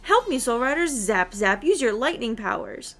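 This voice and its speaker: A woman's voice: a little high, big pitch swings, normal speed, a bit bright, soft background music, exaggerated voice. A woman voicing a mock-innocent, taunting chaos villain who poses as a little girl in a fantasy game. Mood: mock pleading.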